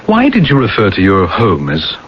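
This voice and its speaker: Casting: insistent psychiatrist, husky, radio drama, muffled lo-fi old recording. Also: male voice, on the low side, huge pitch swings, normal speed, exaggerated voice. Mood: probing. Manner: questioning, precise. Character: probing, puzzled psychiatrist, patient but insistent. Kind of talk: 1980s radio drama acting.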